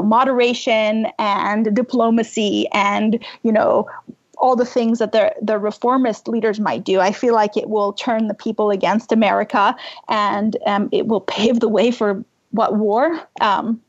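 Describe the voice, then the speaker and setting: A woman from Iran answers reflectively as an interview guest, her fairly high voice edged with huskiness. She feels her way through each thought with some hesitation. The sound is a little dulled and lo-fi. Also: slightly rough. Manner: hesitant, thinking aloud. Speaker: podcast guest, writer.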